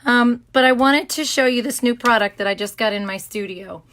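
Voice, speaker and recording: Hoarse woman hosting a craft livestream. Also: fairly high pitch, a little fast, fairly bright tone. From Florida. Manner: chatty, greets viewers by name, many ums. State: hoarse, sore throat. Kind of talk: casual livestream demo. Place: home craft studio.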